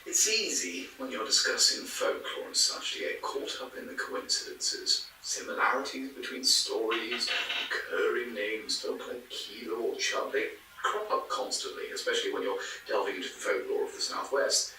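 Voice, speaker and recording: Animated skeptical researcher, echoey room. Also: male voice, mid-range voice, normal speed, far from the mic. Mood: reflective, skeptical. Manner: rambling, wry, hesitant, stammering. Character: chatty, skeptical male folklore researcher musing on old records. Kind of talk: naturalistic interview in a podcast drama. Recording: very echoey room, off-mic, faint noise.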